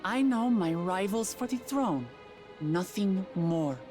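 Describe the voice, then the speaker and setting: A proud young heroine of a fantasy game. Her voice sits at an ordinary female pitch, earnest and firm, with loud music underneath. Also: expressive, takes it slowly. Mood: defiant. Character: earnest, proud young female claimant to a throne, feline warrior. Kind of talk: video game voice acting.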